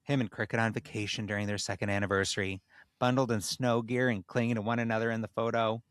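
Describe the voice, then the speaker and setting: A man's voice: on the low side, level tone, fast, smooth, no room sound, clean studio sound. A man hosting a comedy podcast, reading a story aloud in a mock-solemn tone and breaking into casual banter.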